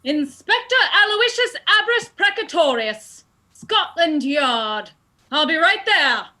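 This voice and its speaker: Very high-pitched, eager inspector. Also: female voice, sing-song, takes it slowly, sharp tone, full character voice. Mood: brisk, self-important. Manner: self-important, announcing. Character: eager, self-important Scotland Yard art crime inspector. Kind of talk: comic radio play acting.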